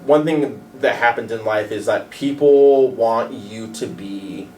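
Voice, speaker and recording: A man with a deep voice, talking candidly on a radio panel, hesitant and full of "like" and restarts. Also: slow, a little mellow, distant mic, low-quality recording. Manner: hesitant, many fillers and restarts. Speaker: panel guest. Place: radio studio.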